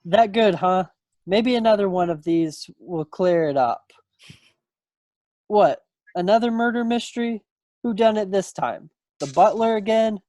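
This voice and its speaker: A man's voice: high-pitched, lively intonation, a little slow, darkish tone, no room sound. A man playing a playful, folksy young small-town suitor from the 1940s in a play reading over a video call. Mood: teasing, fond. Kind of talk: stage play table read. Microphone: computer microphone on a video call.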